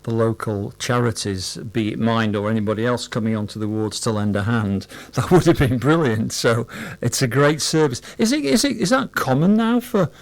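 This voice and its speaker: A man's voice: mid-range voice, lively intonation, moderate pace, few pauses, no room sound. A middle-aged or older man, interviewing a guest conversationally on the radio.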